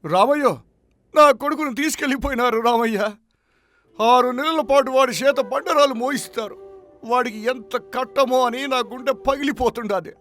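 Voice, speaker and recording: A man's voice: very high voice, moderate pace, a bit bright. A man playing an agitated, blunt village neighbour in a story, with rustic, exclamatory, lamenting speech. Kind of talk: moral-story dramatization.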